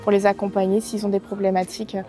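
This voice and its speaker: A woman's voice: medium pitch, monotone, fast talker, music in the background. A woman acting as a project spokesperson, explaining things to the press hesitantly and correcting herself.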